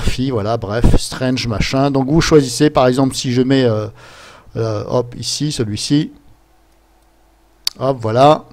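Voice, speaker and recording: A man presenting a casual screen-capture software tutorial, explaining things step by step in an informal, chatty way. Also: mid-range voice. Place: voice-over recorded at a computer during screen capture.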